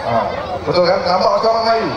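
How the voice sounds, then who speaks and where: A man's voice: high-pitched, very fast. A young Malaysian man preaching live in a playful, teasing way, often putting questions to his listeners. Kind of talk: live sermon with jokes and audience call-and-response. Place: live religious gathering at night, over loudspeakers with a crowd. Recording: echoey mosque PA, muffled, crowd noise.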